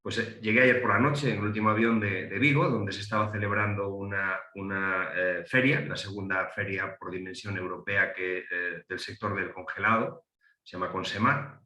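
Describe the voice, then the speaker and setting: A man's voice: on the low side, fairly even intonation, normal speed, bright, forceful tone. A middle-aged man with a Galician accent, an economist speaking on a panel, hesitant with many fillers and restarts. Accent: Galician Spanish. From Galicia, Spain. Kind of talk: panel talk. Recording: roomy echo.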